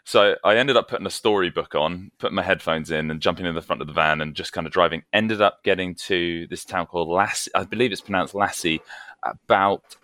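A British man with a fairly low voice and a bright, forward tone tells tour anecdotes casually. He acts out conversations as he goes, in an animated, chatty way. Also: fairly quick, no echo, clean studio sound. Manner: animated, acts out quoted dialogue. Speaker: podcast guest, metalcore band vocalist.

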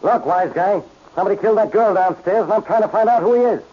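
An actor playing a gruff, irritable police inspector, a man who barks blunt, exasperated orders in 1940s radio drama style. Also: high voice, fast talker. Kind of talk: 1940s radio detective acting. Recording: muffled old radio recording.

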